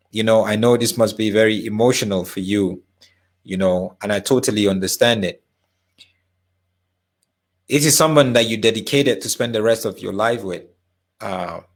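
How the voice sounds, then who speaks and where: Low-voiced male interviewer, rambling questions. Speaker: host.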